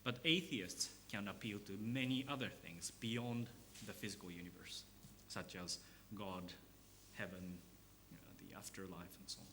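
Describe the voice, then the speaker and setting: A philosophy professor delivers an academic lecture in a bright, forceful voice that sits on the low side. He lays out his argument in measured steps, pausing now and then on fillers. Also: slight background noise. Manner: measured and explanatory, with pauses and fillers. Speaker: philosophy professor giving a talk.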